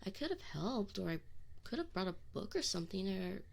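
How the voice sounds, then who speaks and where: A woman's voice: medium pitch, very animated, gravelly. A woman playing a young tsundere succubus in an intimate ASMR roleplay, prickly and defensive, with affection and neediness showing through. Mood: indignant, pouty. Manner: defensive, teasing, halting when admitting feelings. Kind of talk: scripted ASMR roleplay acting, intimate direct address.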